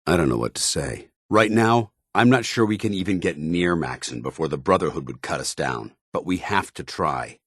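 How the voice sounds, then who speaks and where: A deep-voiced, earnest military paladin. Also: male voice, expressive, breathy edge, no room sound, studio quality. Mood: moved, determined. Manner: grave, formal, deliberate soldierly delivery. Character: earnest, honour-bound military paladin, a synthetic man troubled by his identity. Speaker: voice actor in a video game. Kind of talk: dramatic video game dialogue.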